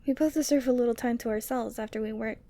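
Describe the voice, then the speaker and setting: A woman acts out a doting yeti girl for an ASMR roleplay. The voice sits fairly high for a woman. She is tender and teasing, coaxing softly with breathy sighs and a lonely fondness. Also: steady tone, quick pace, lightly acted. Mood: warm, chatty. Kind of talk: ASMR roleplay audio drama.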